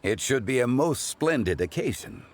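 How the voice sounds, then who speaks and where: A man voicing an ancient, learned gentleman who speaks in a measured, formal, philosophical way. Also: medium pitch, big pitch swings, a little hiss or hum, lightly acted. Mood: composed.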